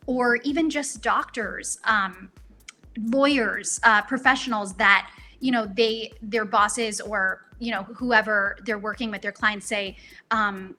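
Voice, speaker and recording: Young woman guest, bright bubbly voice, lots of ums, chatty, faint music behind. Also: mid-range voice. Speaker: voice actor guest. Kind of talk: casual interview chat.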